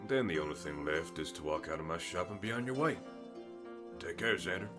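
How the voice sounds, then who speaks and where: Man playing a deep, gruff, blunt village smith. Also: lively intonation, fairly quick, music in the background. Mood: gruff, kindly. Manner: blunt, folksy. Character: gruff, blunt, kindly male fantasy smith and shopkeeper.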